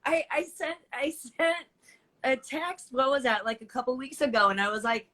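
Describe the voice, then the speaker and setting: A woman with a bright, fairly high voice chats casually as a guest interviewer. She is effusive and giggly in her manner. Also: steady tone, moderate pace. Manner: effusive, giggly, uses "like" often. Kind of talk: casual chat.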